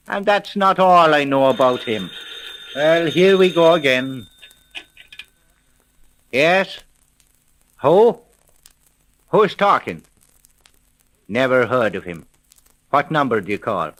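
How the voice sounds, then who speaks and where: Tough police sergeant, fairly high male pitch, American, brusque, slangy, commanding, old-time radio serial style. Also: fairly bright tone, low-quality recording. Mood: dry, knowing, then gruff, irritated. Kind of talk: 1930s radio detective drama acting.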